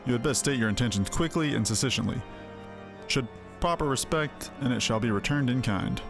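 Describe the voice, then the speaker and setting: A man's voice: mid-range voice, expressive, fairly quick, close to an everyday voice. A man voicing a brusque officer in a fantasy video game, speaking in stern, formal, cautioning phrases. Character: stern, brusque male officer guarding his lord's door. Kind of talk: video game character dialogue, read aloud. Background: music.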